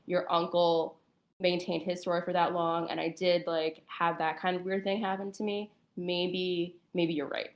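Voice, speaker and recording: A woman telling a personal story in a casual, conversational and somewhat halting way. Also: lowish voice.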